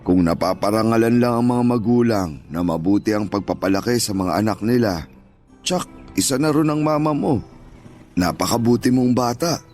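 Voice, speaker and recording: A man plays a humble older Filipino father who sells goods on the street. His voice sits low, and he gives advice gently and earnestly, in radio drama fashion, over a music bed. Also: normal speed, close to an everyday voice. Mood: earnest, reassuring.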